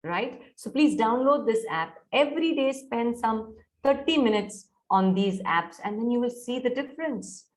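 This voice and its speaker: Coaxing Indian English trainer on a video call. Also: female voice, a little high, lively intonation, normal speed, hardly any pauses. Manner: coaxing, mixes Hindi into English, checks on students often. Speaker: soft skills trainer. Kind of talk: online workshop lecture.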